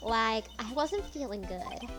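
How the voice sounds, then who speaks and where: A woman's voice: on the high side, animated, takes it slowly. A woman voicing a ditzy valley-girl college student who fills every sentence with "like". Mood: airy. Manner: airy, filler-heavy, says "like" constantly. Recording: game music behind voice. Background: video game music.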